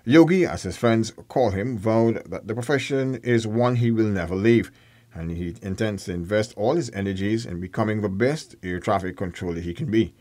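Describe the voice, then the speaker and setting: A man's voice: on the low side, moderate pace, darkish tone, no echo. A man reading scripted narration for a news feature.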